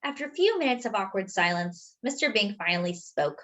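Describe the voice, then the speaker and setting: Animated woman reading a picture book. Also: mid-range voice, a little fast. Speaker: children's storyteller. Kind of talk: animated picture-book read-aloud with asides to young listeners.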